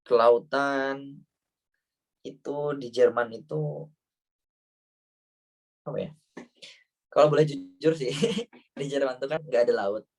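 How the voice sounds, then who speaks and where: A man's voice: medium pitch, fairly even intonation, slow, pauses often, darkish tone, distant mic. A man in his thirties from Indonesia with a Banyumas Ngapak accent, chatting casually as a talk show guest and using many fillers. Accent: Banyumas (Ngapak) Javanese-Indonesian. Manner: casual, with frequent fillers and "wallahu alam". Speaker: guest answering viewer questions. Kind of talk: relaxed talk show chat.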